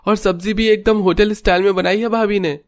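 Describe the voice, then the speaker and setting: A man's voice: high voice, steady tone, brisk. A man voicing a hungry, meddling young man of the household in a cartoon family drama, casual and nagging. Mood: boastful praise.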